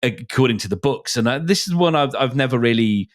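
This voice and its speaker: A man's voice: medium pitch, animated, a little fast. A man chatting casually as a podcast host, hesitant and often restarting as he searches for words. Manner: hesitant, searching for words with repeated false starts. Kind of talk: casual discussion.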